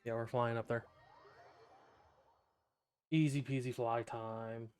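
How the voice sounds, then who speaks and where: A man's voice: medium pitch, fairly even intonation, slow, a little mellow, clean tone, soft background music. A young man giving casual, joking and offhand commentary while streaming a video game.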